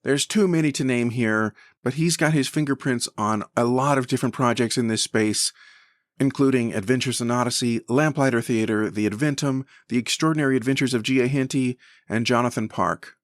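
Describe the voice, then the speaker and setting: A man's voice: mid-range voice, fairly quick, no room sound, clean studio sound. A man hosting a podcast, chatting casually as he interviews guests.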